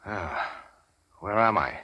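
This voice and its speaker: An actor playing a wounded, cynical gangster in 1940s radio crime drama, terse, slangy and sardonic. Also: male voice, low-pitched, animated, slow, bright, forceful tone, dry recording, full character voice.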